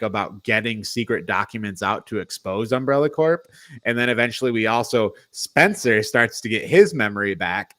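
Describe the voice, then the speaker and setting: A man with a fairly low voice talks casually, like a co-host reviewing a film with friends. His delivery is halting and rambling, and the recording is dry with very little room sound. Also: a bit bright, dry recording. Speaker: podcast co-host.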